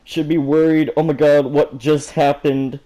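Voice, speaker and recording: A man's voice: a little high, level tone, a little slow, warm tone, pitched above the performer's own voice, close to an everyday voice, poor audio. A man voicing a shocked, flustered young woman in an amateur story reading, blurting out exclamations and questions. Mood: shocked, frantic.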